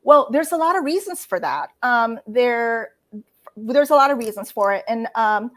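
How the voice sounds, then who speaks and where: A middle-aged woman speaking as a guest writer in a casual interview, earnest and halting, with many fillers and restarts. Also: on the high side, animated. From United States.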